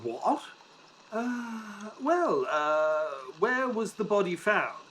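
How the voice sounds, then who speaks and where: Casting: male actor as earnest Victorian gentleman narrator for a detective audio play, highish animated voice, some background ambience. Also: extremely slow, a little hiss or hum, full character voice. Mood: baffled. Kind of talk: scripted audio drama narration.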